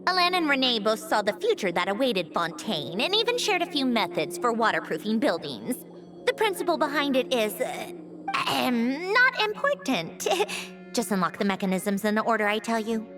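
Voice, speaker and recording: A woman voicing a proud, brisk young female engineer in an anime game, clipped and easily flustered. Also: on the high side, big pitch swings. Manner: clipped, commanding, stammers when flustered. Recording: music playing behind.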